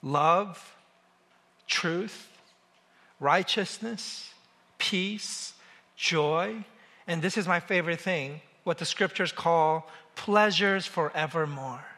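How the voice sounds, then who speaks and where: Bright-voiced man in his forties preaching. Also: a little high. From South Korea. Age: 40s. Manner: measured, emphatic, slows on lists of words. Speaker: pastor. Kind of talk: sermon.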